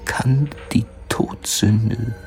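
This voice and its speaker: An actor playing a feverish, haunted, jealous poor man in a German radio play, speaking haltingly and often sinking to a mutter. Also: lowish voice, creaky, darkish tone, faint music behind, heavily acted. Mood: jealous, brooding.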